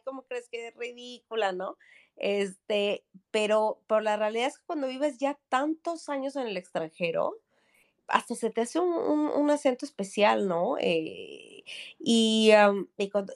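A woman in her thirties from Mexico City with a Mexico City Spanish accent, a podcast guest chatting casually with many fillers and tag questions. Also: a little high. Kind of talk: casual chat.

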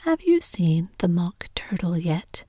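A woman's voice: lowish voice, very animated, takes it slowly, warm tone, slightly rough, no echo, exaggerated voice. Woman whispering a bad-tempered queen. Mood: commanding. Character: bad-tempered queen who orders executions.

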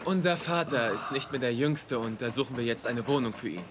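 A man's voice: medium pitch, animated, a little fast, background noise, close to an everyday voice. A man acting an earnest, cautious younger brother who investigates, speaking seriously in a dubbed German TV drama.

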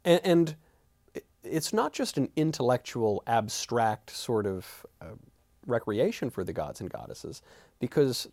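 A man with an average-pitched voice hosts a discussion in a conversational style. He is inquisitive, sometimes halting and restarting as he builds a point. The recording is dry, with almost no room sound. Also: very animated, a little mellow, no echo. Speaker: interview host.

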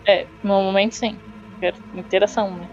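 A Brazilian woman speaks as a player in a tabletop game. She narrates her character's moves casually at an average female pitch. The sound is slightly dull, with faint music underneath. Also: fairly even intonation, brisk. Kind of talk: casual role-play narration.

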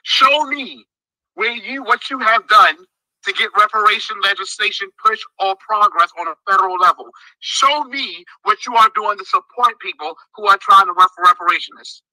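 A man with a strikingly bright, piercing voice delivers a fiery, emphatic speech, hammering the same points again and again. His voice sits high for a man and sounds close and dry. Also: moderate pace, no room sound, low-quality recording. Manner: forceful, emphatic, repetitive. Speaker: political candidate. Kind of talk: impassioned speech in an online audio room.

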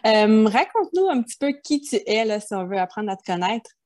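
A woman's voice: a little high, big pitch swings, brisk, darkish tone. A woman with a Québécois French accent hosting a podcast, talking in a friendly, conversational way and introducing her guest. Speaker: podcast host. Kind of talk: casual podcast interview.

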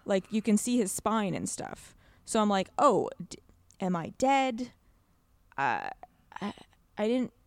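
Young woman, podcast guest. Lively, expressive intonation, conversational and wandering, dry close sound. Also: medium pitch, slow, long pauses, no echo. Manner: animated, rambling, frequent "like". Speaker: podcast guest discussing a video game. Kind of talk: casual chat.

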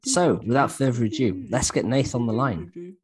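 A man's voice: medium pitch, sing-song, moderate pace, a crowd in the background. A man co-hosting a podcast, easygoing and wry as he questions a guest. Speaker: podcast co-host. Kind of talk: casual podcast chat.

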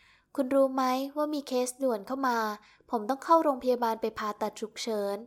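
An irritable young male doctor snaps clipped, cold reproaches in a storybook reading, as a woman narrator puts him on. The voice sits a little high and barely shifts from the reader's own. The tone is flat and scolding. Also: fairly quick, close to an everyday voice. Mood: angry.

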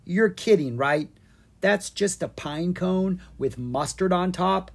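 A narrator voicing a bold, cheeky young girl dragon who is excitable in a children's storybook. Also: medium pitch, slow pace, voice pushed up. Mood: worried, doubtful. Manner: excitable, taunting, yelling.

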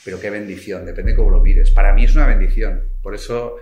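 A man from Spain, speaking passionately and colloquially as a guest author in a podcast conversation, with sudden swings in loudness. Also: on the low side, steady tone, a little fast, a little hiss or hum. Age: 40s. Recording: echoey room.

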